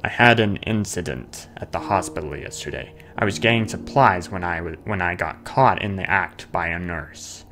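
Low, creaky-voiced man doing game commentary. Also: normal speed, few pauses, pushed and bright, a little hiss or hum. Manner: playful, chatty, sings nonsense sounds to himself; mutters and reads on-screen notes aloud. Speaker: gamer, let's player. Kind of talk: casual horror game playthrough commentary. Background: game music.

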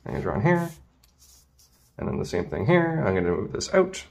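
A man's voice: medium pitch, very animated, fairly quick, gravelly. A man teaching as a tailoring instructor in a casual hands-on demonstration, informal and thinking aloud as he works. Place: indoors at a work table.

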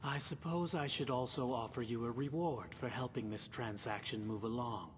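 An officious trading company clerk from a video game, acted by a man, speaks formally and fussily at a medium pitch. He follows the book to the letter. Long reverb surrounds the voice, with a faint rumble behind it. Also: normal speed, lightly acted. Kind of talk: video game character dialogue.

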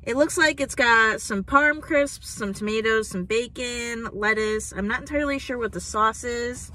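Young woman, food vlogger, chatty and casual, slightly high voice, phone camera in a parked car. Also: moderate pace, bright, forceful tone, slight background noise. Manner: chatty, lots of "like" and "um". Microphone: phone camera on dashboard.

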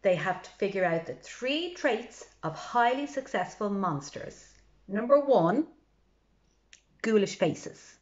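A woman reading aloud to children in an expressive way, adding chatty asides as a storytime librarian. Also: mid-range voice, muffled recording, a little hiss or hum. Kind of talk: animated children's read-aloud.

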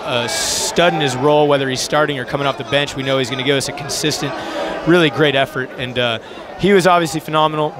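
A young man, a basketball coach, talking informally and earnestly in a post-game interview on a headset mic. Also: a little high. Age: young adult. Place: courtside in an indoor arena. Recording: crowd noise in busy arena.

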